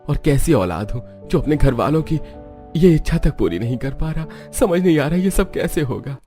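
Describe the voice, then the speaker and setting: A man's voice: a little high, animated, normal speed, warm tone, faint music behind, natural voice. A man playing a poor, weary father and labourer, humble and downcast, in a cartoon story. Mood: despairing. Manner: earnest, downcast.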